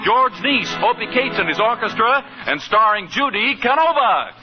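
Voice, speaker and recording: A man's voice: extremely high pitch, lively intonation, normal speed. A man announcing a 1940s radio show in period broadcast style. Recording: muffled 1940s broadcast, loud music behind.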